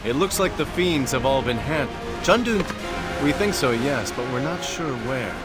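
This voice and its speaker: A man voicing a courteous, businesslike ally in a video game, with a polite, measured manner. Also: mid-range voice, animated, loud music behind the voice, close to an everyday voice. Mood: grateful, concerned.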